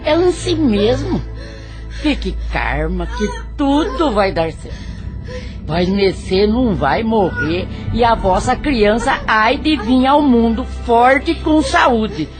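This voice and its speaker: A woman's voice: on the high side, expressive, slow, music in the background. A woman playing a plain-spoken, devoted servant midwife in an audio drama, soothing and folksy. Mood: soothing, firm.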